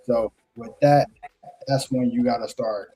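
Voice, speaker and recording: A man's voice: medium pitch, fairly even intonation, a little slow, dark tone, soft background music. A man in his thirties hosting a live trading show, coaching viewers in a casual, slangy way. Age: 30s.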